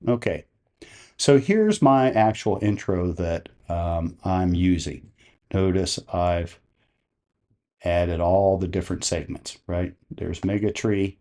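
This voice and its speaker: A man presenting a software tutorial, explaining each step in a methodical, plain-spoken way. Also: deep voice, slow.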